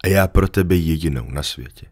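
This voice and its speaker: A man reading a storybook, voicing a lonely, patient female fox in a soft, earnest, coaxing way. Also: very deep voice, dry recording, clean studio sound. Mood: earnest, wistful.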